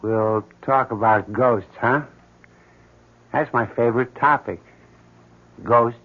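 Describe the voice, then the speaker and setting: A man's voice: medium pitch, slow, very noisy. Morbid, casual handyman, tinny sound. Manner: casual, matter-of-fact, brooding. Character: odd, morbid building handyman obsessed with ghosts. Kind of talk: 1970s radio drama acting. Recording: thin, tinny old radio broadcast.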